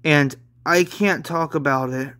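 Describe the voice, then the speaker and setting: Defiant young manga heroine, read by a man: blunt, evasive, bright, slightly high. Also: a little slow.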